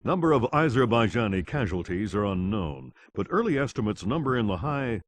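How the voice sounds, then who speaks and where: A man's voice: lowish voice, very animated, fairly quick, a little hiss or hum. A man playing a grave, authoritative TV news anchor reading a scripted report. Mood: grave, formal.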